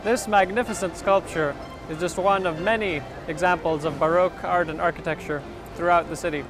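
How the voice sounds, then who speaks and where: Creaky young man from the United States. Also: on the high side, steady tone, brisk, over music. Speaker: history student.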